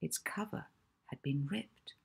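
A woman's voice: low voice, measured pace, gravelly, slightly rough. A woman, narrating a children's novel expressively. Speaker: author reading own children's novel.

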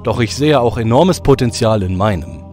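A man voicing a reserved god who speaks in a formal, measured way. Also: medium pitch, lively intonation, a little mellow, faint music behind, close to an everyday voice. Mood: earnest. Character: proud, reserved father god.

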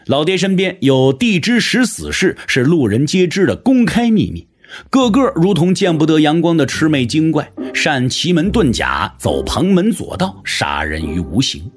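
A man's voice: a little high, very animated, moderate pace, breathy edge. A man narrating an audio drama from a script in a dramatic storytelling style.

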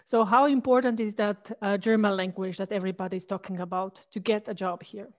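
A woman's voice: mid-range voice, fairly even intonation, brisk, darkish tone. A woman in her thirties hosting an interview over a video call on a headset, friendly and asking questions. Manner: friendly, asks questions with short backchannels. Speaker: host. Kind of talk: interview host.

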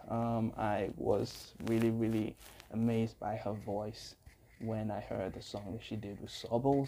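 A man's voice: mid-range voice, dark tone. Chatty young man reacting to music. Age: young adult. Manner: upbeat, chatty, many fillers. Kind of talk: casual reaction commentary. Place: small room indoors. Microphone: earphone mic, phone camera close up.

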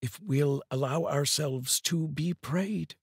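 A middle-aged man speaking in an earnest, deliberate way, like a host reading poetry aloud, up close to a studio microphone. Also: a little high, slightly rough, dry recording. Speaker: host and voice-over reader. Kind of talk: solemn, measured poetry reading and personal address to viewers. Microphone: large studio microphone, up close.

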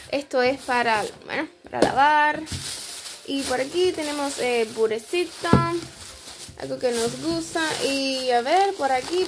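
Excitable high-voiced young Cuban woman. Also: background noise. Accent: Cuban Spanish. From Cuba. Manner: chatty and excitable, quick exclamations. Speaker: vlogger. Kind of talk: casual show-and-tell vlog. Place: small home dining room, indoors.

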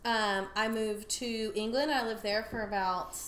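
A woman chatting casually as a guest, listing the places she has lived in a quick storytelling run. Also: medium pitch, steady tone, a bit bright, reverberant, slight background noise, poor audio. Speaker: guest musician. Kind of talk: casual chat. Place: home kitchen, indoors.

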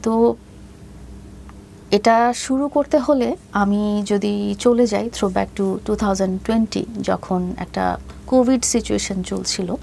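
A woman giving a personal account as an interview guest, pausing often and mixing in many English words. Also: medium pitch, fairly even intonation, gravelly, dark tone, slight background noise. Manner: hesitant pacing, heavy mixing of English words.

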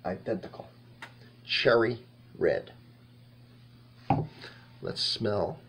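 A man in his fifties giving a casual, halting tasting review to camera, rambling and thinking aloud in a small indoor room. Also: fairly low pitch, noisy background. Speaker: wine reviewer and host.

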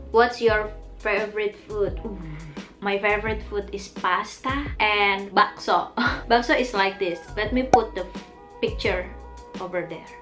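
A young woman with an Indonesian accent answers questions slowly and shyly, breaking her replies into short bits with little giggles. She talks close to a camera in a small bedroom. Soft music plays faintly behind her. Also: mid-range voice. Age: young adult. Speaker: vlogger. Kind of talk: casual get-to-know-me tag video. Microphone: camera in front at close range.

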